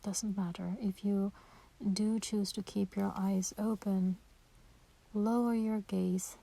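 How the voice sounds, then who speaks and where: A woman leading a guided meditation in a soft, breathy voice, gentle and soothing, with unhurried pauses. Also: medium pitch, steady tone.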